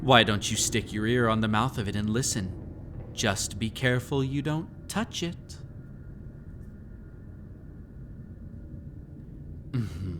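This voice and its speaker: A man playing a mysterious, gleefully sinister keeper of a haunted house in an audio roleplay, speaking to the listener with teasing, drawn-out, theatrical phrasing. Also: fairly low pitch, background noise, lightly acted. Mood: gloating menace. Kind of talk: scripted immersive audio roleplay, storytelling.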